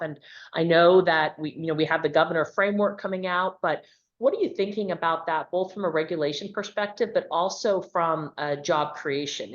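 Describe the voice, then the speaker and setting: Low-voiced woman moderating a webinar. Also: highs cut off. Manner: conversational, loose phrasing with fillers. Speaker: panel moderator.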